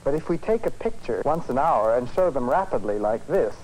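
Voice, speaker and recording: A man, a physics professor, presenting an educational film lecture in a measured, conversational, explanatory way. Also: mid-range voice, big pitch swings, darkish tone. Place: film studio. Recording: noisy 1950s educational film soundtrack.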